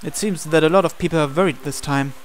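A man's voice: fairly high pitch, quick pace, a little hiss or hum. A man reading visual novel dialogue and narration aloud as the male protagonist, with game music behind him. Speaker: gameplay reader voicing the male protagonist. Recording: music playing under the voice.

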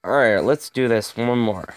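A man's voice: medium pitch, measured pace, smooth, no room sound. A young man giving casual mobile gameplay commentary to his viewers, his voice strained and fading, chatty and easily frustrated with the game. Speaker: gaming video host.

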